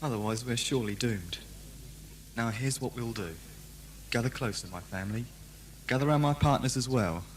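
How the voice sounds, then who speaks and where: A man's voice: medium pitch, moderate pace, pauses often, lightly acted. Defiant father and prisoner for audio drama, male actor, mid-range, solemn, formal, declamatory; slightly noisy tape. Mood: grave, resolute. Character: defiant condemned father plotting a prison escape. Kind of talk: amateur audio drama acting. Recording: noisy hum, old cassette fan production.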